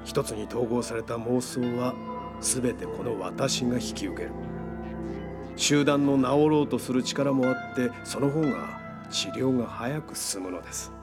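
A man's voice: medium pitch, a little slow, music in the background, natural voice. An actor playing a confident psychotherapist who lectures in technical terms about his invention, in a radio drama. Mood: assured, explaining. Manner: lecturing, technical, self-assured. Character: confident male psychotherapist explaining his brain-linking invention.

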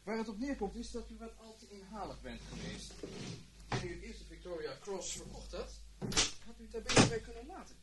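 Casting: a brisk, mocking police detective, lively higher-pitched voice, old radio drama with clear noise. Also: male voice. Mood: smugly polite. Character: brisk, cunning male fraud detective, slyly polite. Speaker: actor in a radio play. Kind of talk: Dutch radio play acting. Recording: noisy old radio play recording, clicks.

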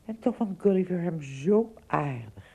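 A woman's voice: lowish voice, lively intonation, unhurried, vocal fry, mellow, slightly rough. A woman narrating a radio drama as a scripted storybook tale.

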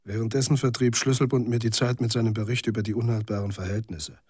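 A man's voice: mid-range voice, flat delivery, quick pace, darkish tone, breathy edge, dry recording, studio quality, close to an everyday voice. An actor playing a weary, cynical male journalist telling his story in a laconic literary monologue for radio drama. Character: weary, cynical male journalist in a bleak near-future city.

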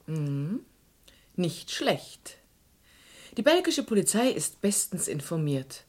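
An actress playing a self-possessed amateur sleuth in a German radio crime drama, direct and composed in manner. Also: female voice, on the low side, sing-song, takes it slowly, a little mellow, exaggerated voice. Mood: composed, defiant.

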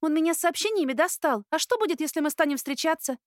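A bubbly, stubborn young student acted by a woman narrator chatters excitably in a very high, girlish voice, pushed well up for an audiobook character. The recording is dry and close. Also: fast talker, no room sound. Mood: stubborn, dismissive.

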